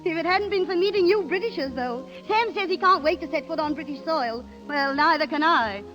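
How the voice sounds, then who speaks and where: An actress playing a vain, restless middle-aged American wife, coy and demanding, in 1930s radio drama style on an old broadcast. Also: female voice, high-pitched, fairly quick, over music, lightly acted. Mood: flirtatious.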